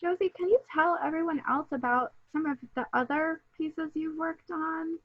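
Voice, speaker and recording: A woman's voice: high-pitched, moderate pace, no echo. A woman host moderating a video-call talk, asking long thoughtful questions with frequent ums. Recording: dull lo-fi sound.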